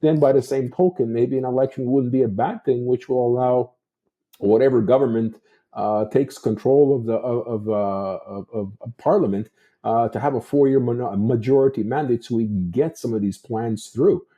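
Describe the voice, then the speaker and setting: A man's voice: medium pitch, normal speed, highs cut off. A Canadian man giving unscripted political commentary as a talk show host, discursive and repeating himself for emphasis.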